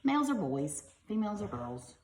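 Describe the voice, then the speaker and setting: A woman's voice: medium pitch, very animated, moderate pace, echoey room, a little hiss or hum. A woman reading a picture book aloud to young children like a teacher, expressively and with simple explanatory asides.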